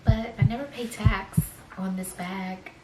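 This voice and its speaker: A young woman, slightly raspy after choking on a drink, chatting slowly through an unboxing vlog with many fillers and soft whispered asides. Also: mid-range voice, steady tone, very noisy. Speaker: fashion vlogger. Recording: echoey room.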